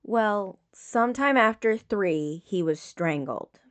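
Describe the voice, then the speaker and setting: A woman's voice: mid-range voice, expressive, slow pace. A woman reading the part of a composed, clinical young female medic in a story, direct and matter-of-fact. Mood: businesslike. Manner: matter-of-fact, clipped questioning.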